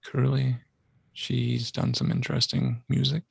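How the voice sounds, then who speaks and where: A man co-hosting a casual podcast, tossing out references and puns in a deadpan way. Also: medium pitch, measured pace, vocal fry, husky, no echo. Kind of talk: casual talk, trading music and film references.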